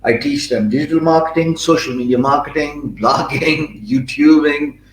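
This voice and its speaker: A man's voice: fairly high pitch, slight background noise. Pakistani man with an echoey sound. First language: Urdu. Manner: fluent but filler-heavy, with frequent restarts and emphatic pauses. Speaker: guest. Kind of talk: interview answers.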